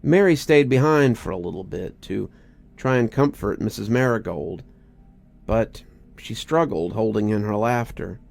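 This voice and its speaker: A man's voice: on the low side, expressive, measured pace, vocal fry, darkish tone. A man narrating a scripted story in a dramatic reading style. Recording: music bed under narration.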